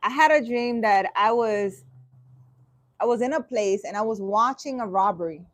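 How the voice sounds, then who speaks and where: A woman with a fairly high voice, recorded dry and close with almost no room sound. She reads a dream interpretation aloud, then breaks in with sarcastic, exasperated asides. Her speech is halting, full of restarts and casual swearing. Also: dry recording. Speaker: spiritual vlogger.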